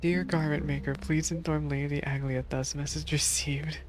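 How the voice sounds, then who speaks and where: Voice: mid-range voice, little pitch movement, normal speed. A streamer giving casual, slangy, wry live reactions while playing through a game. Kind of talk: casual live playthrough commentary. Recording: prominent game music behind voice.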